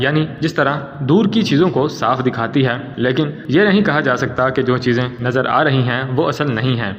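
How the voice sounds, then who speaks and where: A man delivering scripted documentary narration in a formal storytelling manner. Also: medium pitch. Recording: dull audio with long hall reverb.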